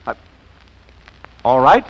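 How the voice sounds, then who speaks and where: A man's voice: slow, highs cut off, close to an everyday voice. An actor playing a flustered, bossy businessman in a 1940s-style radio comedy, stammering and abrupt. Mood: startled, reluctant. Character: flustered, bossy businessman pushed into hasty marriage.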